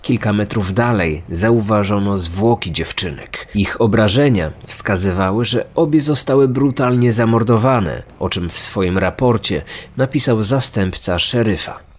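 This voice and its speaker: A man's voice: on the low side, measured pace, few pauses. A man reading a scripted true-crime narration. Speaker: podcast narrator. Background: background music.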